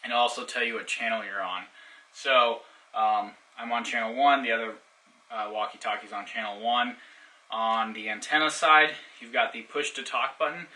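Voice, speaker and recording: A man's voice: medium pitch, fairly even intonation, normal speed, pushed and bright, low-quality recording. A man giving a casual hands-on product demonstration in an informal, explanatory way. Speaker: product reviewer.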